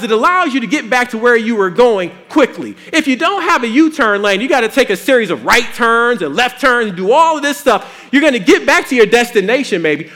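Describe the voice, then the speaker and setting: A man preaching a sermon in a folksy, animated way with playful asides. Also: extremely high pitch.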